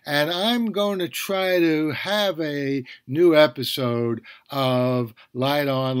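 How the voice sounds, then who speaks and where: Slow-paced man podcasting, higher voice. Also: clean tone. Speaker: podcast host. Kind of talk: conversational podcast talk.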